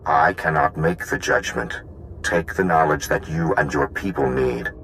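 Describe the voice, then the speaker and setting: An actor playing an ancient, dying machine gatekeeper in a video game, solemn and impassive, speaking in formal, clipped reports. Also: male voice, a bass voice, a little slow, fairly bright tone, slight background noise, exaggerated voice, low-quality recording.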